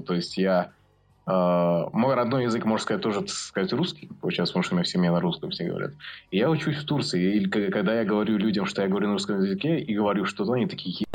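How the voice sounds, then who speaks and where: Young male host, low husky voice, rapid and jokey. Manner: quick, joking, loose phrasing. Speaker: podcast host. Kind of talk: casual podcast chat.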